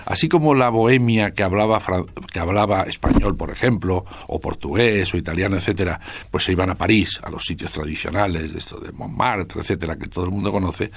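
A man's voice: low-pitched, a little fast, gravelly, few pauses, husky, no room sound. An older man from Spain, a guest author speaking in a radio interview, thoughtful and hesitant with many restarts.

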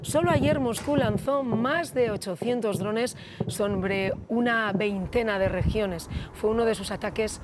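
A woman anchoring a television news bulletin with a brisk, measured delivery. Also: on the high side, heavy background noise. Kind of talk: news reading.

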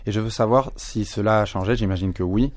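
A man from Spain, a French speaker, interviewing a filmmaker with measured questions and frequent hesitations. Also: on the low side, a little mellow, dry recording, studio quality. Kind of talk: festival interview.

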